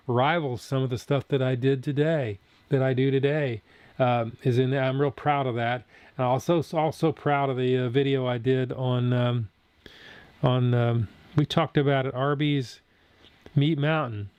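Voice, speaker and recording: A man's voice: mid-range voice, a little mellow, clear, resonant tone, no echo. A man in his sixties from Southern Ohio, chatting casually with viewers on his food channel's live stream and reading their comments aloud as he rambles. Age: 60s. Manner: rambling, chatty, answers chat as he reads.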